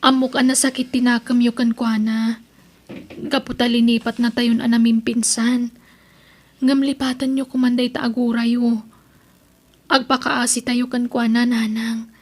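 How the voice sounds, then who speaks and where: A woman's voice: a little high, flat delivery, unhurried, pauses often, darkish tone. A woman with an Ilocano accent playing an earnest, pleading teenage daughter who longs for acceptance in a radio drama.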